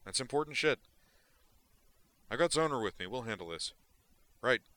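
A brash, agitated man barks and curses through every line, loud and sharp-edged, as a male reader puts him on. The voice sits far higher than the reader's own deep tone. Pure restless storybook mania. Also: lively intonation, normal speed, no room sound. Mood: shouting. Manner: shouting, cursing, agitated. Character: brash frantic man, gonzo doctor. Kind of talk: audiobook character voice.

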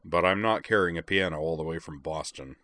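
A man's voice: deep voice, a little fast, pushed and bright, dry recording. A man as a brawny, wry big guy. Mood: wry, grumbling. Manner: wry, matter-of-fact.

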